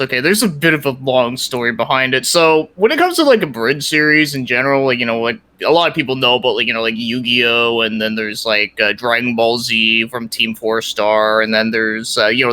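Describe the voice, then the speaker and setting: A man's voice: medium pitch, brisk, hardly any pauses, sharp tone. A man chatting casually as a podcast guest, rambling with lots of "like" and some swearing. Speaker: guest, creator of a fan parody series.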